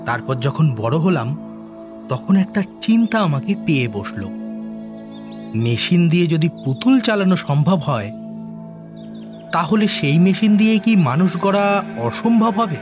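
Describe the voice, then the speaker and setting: A man's voice: a little high, lightly acted. A man acting an obsessed, secretive scientist in a Bengali audio drama, confessional and emphatic. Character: obsessed male scientist, intense, secretive, driven to create life. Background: background music.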